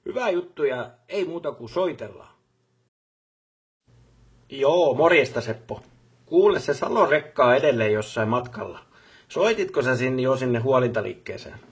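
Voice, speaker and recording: A busy factory boss, played by a male actor for a video game. His voice is husky and mid-pitched, and his manner is brisk and businesslike, with colloquial turns and short repeated affirmations. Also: close to an everyday voice. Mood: pleased, then stern, pressing. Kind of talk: game voice lines, phone calls and workplace speeches.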